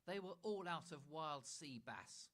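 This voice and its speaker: A man's voice: on the high side, expressive, measured pace, fairly bright tone, pitched above the performer's own voice. Man doing a meek henpecked dad. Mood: placating. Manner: mild, placating. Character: meek henpecked father, 40, balding.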